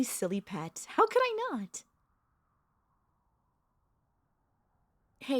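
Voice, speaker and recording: A woman playing a possessive, doting royal vampire mistress in an intimate ASMR audio roleplay, speaking in a tender, teasing, halting way with a creaky voice. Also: mid-range voice, darkish tone. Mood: affectionate, scolding. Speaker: voice actor and vtuber.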